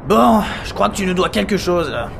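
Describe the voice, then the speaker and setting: Man playing a high, gravelly, indignant henchman. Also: sing-song, a little fast, music in the background, exaggerated voice. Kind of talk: French comedy audio drama acting.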